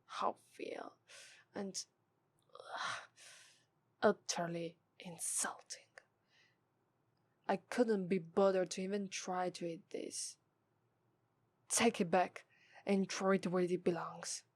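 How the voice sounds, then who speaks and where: A woman's voice: on the low side, steady tone, no echo. A woman with an Italian accent voicing a scornful demon femme fatale in an ASMR roleplay, condescending and drawing out her disdain. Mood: disgusted. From Italy. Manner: condescending, drawn-out disdain, breathy asides.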